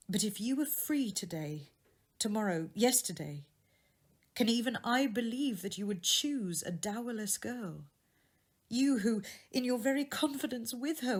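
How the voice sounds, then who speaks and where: A woman voicing a sorrowful, dignified young woman in a classic storybook tale, speaking gently and earnestly. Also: mid-range voice, voice pushed up. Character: sorrowful, dignified young woman releasing her changed fiancé. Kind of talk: dramatised audiobook reading of a classic tale.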